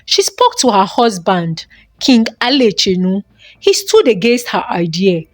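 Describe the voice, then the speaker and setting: A woman's voice: mid-range voice, big pitch swings, a little slow, no echo, clean studio sound. A woman narrating a folktale as a dramatic storyteller.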